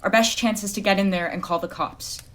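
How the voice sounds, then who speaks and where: A woman playing a blunt, wary female private detective in an audio drama, measured and commanding in her delivery. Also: mid-range voice, steady tone, lightly acted. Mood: grim, firm. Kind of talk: audio drama acting with noir narration.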